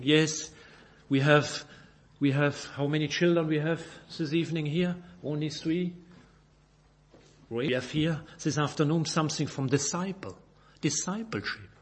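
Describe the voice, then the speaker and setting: A man from Belgium, a native French speaker with a Belgian French accent, preaching and reading scripture in halting English, searching for words and correcting himself. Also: on the high side, a bit bright, slightly rough. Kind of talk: informal Bible sermon with scripture reading.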